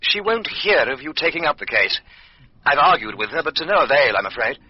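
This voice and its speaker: Polite awkward gentleman in a radio play, slightly high husky voice, hesitant. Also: big pitch swings, a little fast. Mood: apologetic, awkward. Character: apologetic, well-mannered gentleman client, mildly awkward. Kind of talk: radio drama acting. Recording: dull lo-fi radio recording.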